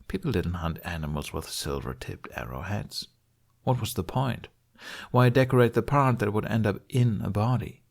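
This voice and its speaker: A man's voice: lowish voice, lively intonation, normal speed, creaky, darkish tone. A man reading scripted story narration as an audiobook narrator.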